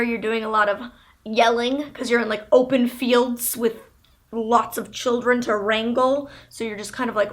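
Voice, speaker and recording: A young woman vlogger and motivational speaker telling a personal story to camera in a chatty, projecting way, with many filler "like"s. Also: a little high. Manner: loud, projecting talker.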